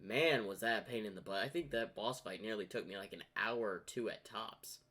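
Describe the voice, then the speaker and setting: A man's voice: lowish voice, a little fast, fairly bright tone, slightly rough. A man giving casual, off-the-cuff reaction commentary as the host of a video.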